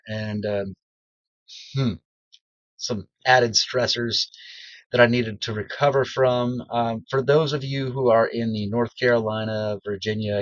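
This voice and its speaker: A man's voice: mid-range voice, a bit bright, no room sound, dull audio. Congested man in a dry closet. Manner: rambling, self-deprecating, many ums. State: congested, recovering from strep throat. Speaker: beginner voice actor. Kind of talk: casual personal vlog. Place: small cedar closet booth.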